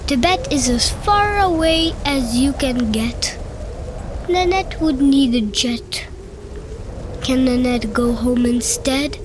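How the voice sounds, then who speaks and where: A woman reading a children's picture book aloud in a slow, playful storybook manner. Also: sits high, background noise. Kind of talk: children's read-aloud narration. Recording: faint music behind the voice.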